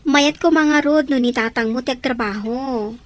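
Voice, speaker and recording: A woman's voice: sits high, moderate pace, no room sound, close to an everyday voice. A woman playing a chatty, curious young character in a radio comedy drama.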